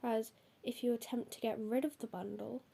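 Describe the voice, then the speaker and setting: A woman's voice: a little high, fairly even intonation, a little fast, creaky, mellow, breathy edge. A young woman with a cold and a stuffy nose, hosting and reading out folklore notes, hesitant with many ums and apologies. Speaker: host.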